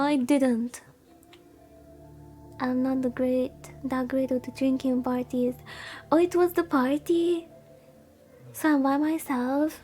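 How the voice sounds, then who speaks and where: A woman streamer with a very high, soft-edged voice plays a visual novel over faint music. She rambles casually, reacting aloud to the game between bits of reading. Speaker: VTuber streamer.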